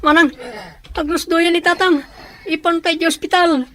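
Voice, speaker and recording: A woman's voice: very high voice, flat delivery, normal speed, a bit bright. A woman playing an alarmed, pleading younger sibling in a radio drama, with a Filipino accent.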